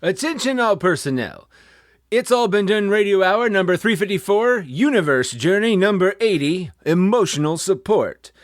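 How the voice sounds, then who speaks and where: A man's voice: high-pitched, expressive, normal speed, no room sound, studio quality. A man announcing the credits of a radio comedy show in a scripted read with playful asides. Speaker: announcer reading the show's credits.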